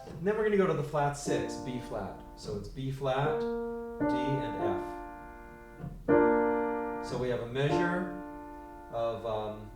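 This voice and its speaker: A man teaching a piano lesson, counting beats out loud and explaining chord changes. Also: mid-range voice, expressive, slow. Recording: roomy, distant mic, loud piano. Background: piano playing.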